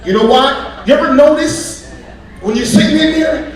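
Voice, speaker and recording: A man doing a stand-up comedy set, animated, acting out bits with loud yelps and squeaky voices. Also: normal speed. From United States. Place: comedy club stage. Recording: distant, echoey live club recording with loud crowd.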